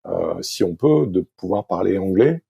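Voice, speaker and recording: A middle-aged French-speaking man, a sailing coach as podcast guest, talking slowly with many fillers and restarts. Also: low voice, animated, gravelly, husky, dry recording, dull audio. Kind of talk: podcast interview, thinking aloud.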